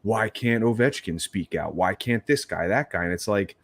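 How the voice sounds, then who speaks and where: A man's voice: lowish voice, moderate pace, dry recording. A man co-hosting a sports podcast, talking in a rambling, exasperated, emphatic way. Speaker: podcast co-host. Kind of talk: casual sports chat.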